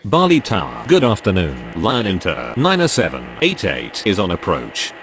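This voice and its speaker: A man's voice: deep voice, huge pitch swings, normal speed, background noise, exaggerated voice. A man voicing a businesslike tower radio operator, speaking procedural scripted phraseology.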